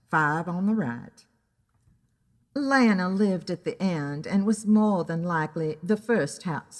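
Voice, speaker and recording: A woman playing a wry, easily annoyed private investigator who narrates her own story in a deliberate, dramatic way. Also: deep voice, in a large hall, heavily acted. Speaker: narrator and lead character of an audio drama. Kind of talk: scripted first-person detective story narration.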